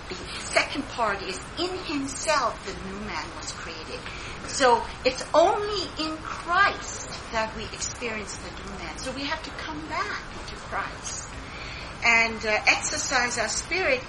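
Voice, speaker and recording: Earnest woman sharing at church, noisy. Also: a little high. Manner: earnest, addresses listeners as saints. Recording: noisy recording.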